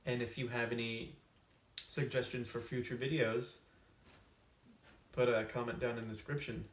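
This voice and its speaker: A man hosting a comedic storytime video, casually summing up a book to camera with tongue-in-cheek, deadpan asides. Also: mid-range voice, normal speed, recorded from a distance. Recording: roomy echo, off-mic, faint hiss.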